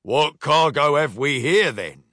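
A man's voice: on the high side, animated, takes it slowly, a bit bright, no echo, clean studio sound. A man voicing a hot-headed, blustering robot pirate captain in a storybook reading. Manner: blustering, hearty.

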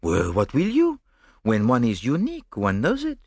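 A man's voice: medium pitch, wildly swooping pitch, normal speed, darkish tone, husky, dry recording, clean studio sound, voice pushed up, heavily acted. A man voicing a vain, fussy middle-aged Belgian detective with a Belgian French accent and a smug, theatrical manner. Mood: vain lecturing.

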